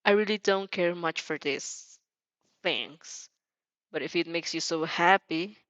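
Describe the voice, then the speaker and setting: Woman as tired male hero, grumpy, curt, deadpan, slightly low, dry story recording. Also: unhurried, no room sound, dull audio. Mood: reluctant.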